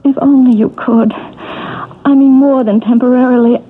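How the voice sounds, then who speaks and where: A slow-spoken entranced mistress. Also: female voice, sits high, fairly even intonation. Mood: distressed. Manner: dreamy, halting trance speech turning grave and urgent. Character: troubled woman relived in trance as a dictator's mistress. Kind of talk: 1970s radio drama acting. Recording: tinny hissy old radio broadcast.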